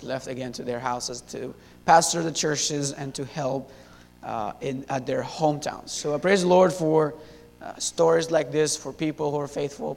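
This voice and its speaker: A young man in his twenties from Syria, giving a halting church testimony full of fillers and restarts into a handheld mic. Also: creaky. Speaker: missionary. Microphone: handheld wireless mic. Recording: echoey hall, faint rumble.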